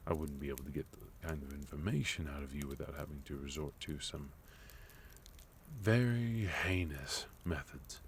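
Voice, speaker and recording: Man playing a very deep, unhurried, sophisticated villain. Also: a little hiss or hum. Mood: composed, softly menacing. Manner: measured, courteous, softly menacing, deliberate pauses. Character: sophisticated, composed male villain, leader of enemy organization. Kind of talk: intimate ASMR roleplay monologue.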